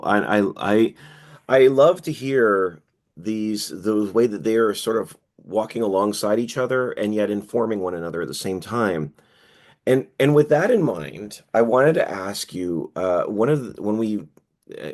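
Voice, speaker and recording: A man's voice: lowish voice, darkish tone. A male interviewer who asks questions enthusiastically and stammers a little at the start of his sentences.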